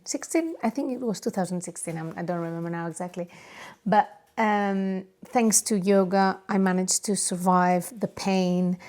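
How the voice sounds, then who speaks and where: Slow-paced woman with a Spanish accent. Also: lowish voice, a little mellow. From Barcelona area, Spain. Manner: halting, searching for words. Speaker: podcast host. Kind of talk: unscripted personal podcast monologue. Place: home or private studio.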